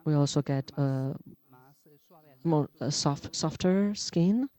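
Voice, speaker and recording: Halting man giving a medical lecture. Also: on the high side, dark tone. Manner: halting, many fillers and restarts. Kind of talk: conference lecture.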